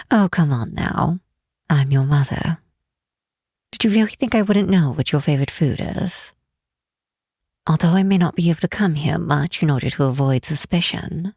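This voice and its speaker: A woman playing a proud, doting and protective demon queen mother in an intimate roleplay, speaking softly in a measured, stately, gently teasing way. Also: low voice, breathy edge, no room sound, clean studio sound, heavily acted. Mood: warm, teasing. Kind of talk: roleplay ASMR, soft intimate acting.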